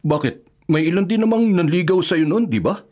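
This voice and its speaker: A man's voice: high-pitched, lively intonation, a little fast, a little mellow. A man acting as an older bachelor brother on a pension in a Filipino radio drama, frank and sympathetic. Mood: concerned.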